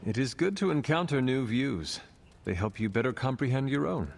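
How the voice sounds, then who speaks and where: A man voicing a calm, earnest warrior hero in a video game, with formal, measured phrasing. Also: medium pitch, creaky. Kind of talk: video game cutscene acting.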